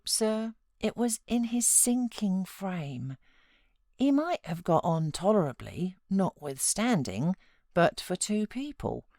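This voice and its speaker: A British woman narrating a classic novel as an audiobook reader, expressive and dramatic in her storytelling. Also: low-pitched, no echo, studio quality. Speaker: audiobook narrator, voiceover artist.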